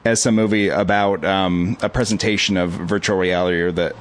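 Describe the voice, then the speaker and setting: A man co-hosting a podcast, talking quickly and animatedly in casual chat. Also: deep voice. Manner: quick, animated, quotes people in mock voices. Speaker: podcast co-host. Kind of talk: casual chat about movies.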